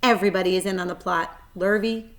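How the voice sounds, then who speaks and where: A woman's voice: mid-range voice, fairly even intonation, fast, natural voice. A woman voicing a blunt, gossipy, snappish old female sheep in a children's storybook. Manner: blunt, matter-of-fact.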